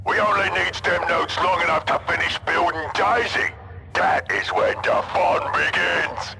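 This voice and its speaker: An actor voicing a brash, brutish ork warboss in a video game, with a gravelly Cockney bark and rough slang. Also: male voice, on the high side, a little slow, background noise. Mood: bossy. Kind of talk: video game cutscene acting. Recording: loud music under voice.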